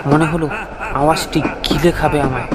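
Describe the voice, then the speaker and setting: A man narrating a scripted horror story in the first person, with a suspenseful, expressive, dramatic delivery. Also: on the high side, moderate pace.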